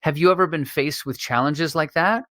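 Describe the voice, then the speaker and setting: A man hosting a casual podcast chat, talking haltingly and repeating words as he thinks aloud. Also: a little high, a bit bright, no echo, studio quality. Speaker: podcast host.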